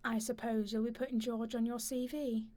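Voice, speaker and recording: Dignified, bitter older wife, fairly high female actor. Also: little pitch movement, dark tone, lightly acted. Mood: wounded, bitter. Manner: measured, probing, accusing. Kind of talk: radio play dialogue.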